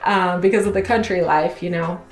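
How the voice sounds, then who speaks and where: A woman's voice: on the low side, steady tone, fairly quick. Chatty Oklahoma woman, roomy echo. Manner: chatty, laughs often, gestures with hands. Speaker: real estate video host. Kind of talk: casual chat.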